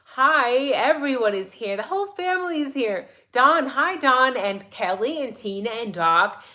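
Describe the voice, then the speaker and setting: A woman hosting a casual true crime livestream, chatty and excitable, her voice a little raspy today. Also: sits high, a little slow. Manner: chatty, excitable, many "like"s and "right?"s. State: slightly raspy voice.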